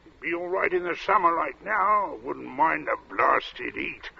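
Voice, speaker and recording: Gravelly old-radio workman, folksy gravestone carver. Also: on the high side, lively intonation, a little hiss or hum, full character voice. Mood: chatty, weary. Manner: folksy, chuckling, unhurried workman's talk. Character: heat-worn working-class gravestone carver, chatty, proud, faintly uncanny. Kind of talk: 1940s radio drama acting. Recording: muffled old radio drama recording.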